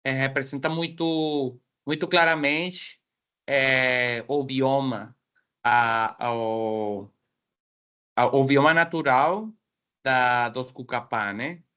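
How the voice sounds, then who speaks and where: A native Spanish speaker with a Mexican accent, presenting his doctoral research over a video call. He talks slowly and hesitantly, reaching for words, in a bright, smooth voice. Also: medium pitch. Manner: hesitant, searching for words in a second language. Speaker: guest speaker. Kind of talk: academic seminar presentation.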